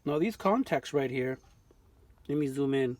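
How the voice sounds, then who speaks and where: A man giving an unscripted show-and-tell of his restoration work in a home workshop, relaxed and full of fillers and restarts. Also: medium pitch, measured pace, no echo. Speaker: vintage radio restorer.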